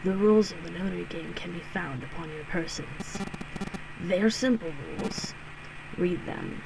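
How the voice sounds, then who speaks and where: A woman's voice: normal speed, gravelly, background noise. Woman doing a cold, eerie masked captain. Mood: cold menace. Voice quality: harsh, low and quiet.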